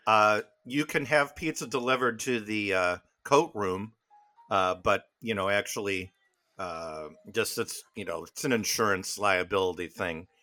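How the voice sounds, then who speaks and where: A man voicing a pompous fake-French gentleman with a put-on French accent, halting with constant "uh" fillers. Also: lowish voice. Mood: hesitant. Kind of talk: improvised comedy bit.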